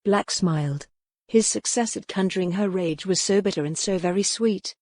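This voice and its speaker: A woman's voice: mid-range voice, moderate pace, darkish tone, no echo, studio quality. A woman reading a historical romance novel aloud as a dramatic audiobook narrator.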